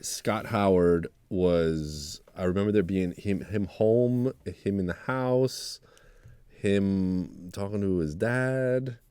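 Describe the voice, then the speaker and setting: A man's voice: sits low, slow pace, warm tone, smooth, no room sound. A man co-hosting casually, interjecting with teasing prompts and directions to his partner. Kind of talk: casual banter.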